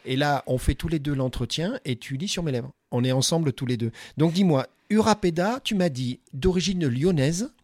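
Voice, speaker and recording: A man's voice: on the high side, normal speed, hardly any pauses, darkish tone, dry recording. A middle-aged man from Dordogne interviewing a guest at a job fair, chatty and enthusiastic, with many restarts. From Dordogne, France. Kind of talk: casual event interview. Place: quiet corner at a busy job fair.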